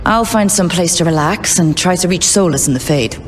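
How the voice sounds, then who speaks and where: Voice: medium pitch, brisk, natural voice, poor audio. A voice actor playing a young elf adventurer and former rogue who talks in a wry, sarcastic, joking way.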